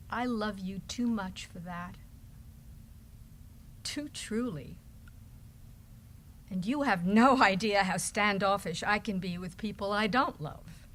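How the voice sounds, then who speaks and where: A woman giving a deliberate, dramatic reading of a love letter. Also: medium pitch, fairly bright tone. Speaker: reader in an amateur readers theater. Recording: background noise, live room ambience.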